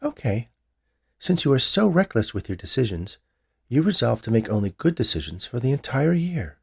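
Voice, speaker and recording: A man's voice: medium pitch, very animated, normal speed, creaky, slightly rough, voice pushed up, exaggerated voice. A man voicing an insistent, knowing woman who hands out a challenging dare in a short story. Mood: smug, warning. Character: smirking, insistent woman issuing a mysterious dare.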